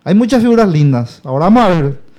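High-voiced guy, mellow tone, rambling on about his campaign, keeps repeating himself. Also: very animated, fast. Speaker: local politician, guest. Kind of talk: casual campaign talk.

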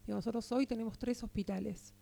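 Gravelly, mellow Argentine woman. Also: a little high, fast talker. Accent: Argentine Spanish. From Argentina, Partido de la Costa. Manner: earnest, steady advocacy with frequent restarts. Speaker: interviewed guest, local political figure. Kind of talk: radio interview.

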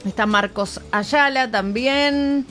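A woman's voice: high voice, slow pace, fairly bright tone, soft background music. An Argentine woman hosting a radio call-in show, greeting callers by name in friendly casual chat.

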